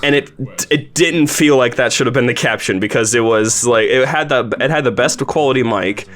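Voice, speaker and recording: A man's voice: medium pitch, moderate pace, a bit bright. A man with an informal, rambling, appreciative way of talking, hosting a casual podcast commentary chat. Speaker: host and series creator interviewing a voice actor.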